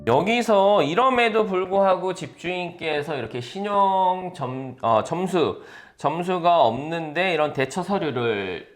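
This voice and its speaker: A man from Seoul, a real estate agent, explaining housing rules in casual chat and stumbling over English legal terms. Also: a little high. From Seoul, South Korea. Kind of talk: conversational explainer talk.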